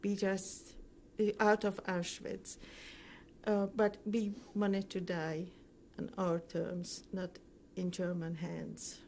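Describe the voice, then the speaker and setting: An older woman gives recorded testimony, recalling the past in an interview. Her speech is slow and halting, broken by pauses, and her voice has a gravelly creak. There is faint noise behind her. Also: mid-range voice, little pitch movement, a little mellow. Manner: halting, pausing often mid-sentence. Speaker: Holocaust survivor giving testimony. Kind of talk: recorded oral history interview, recounting memories.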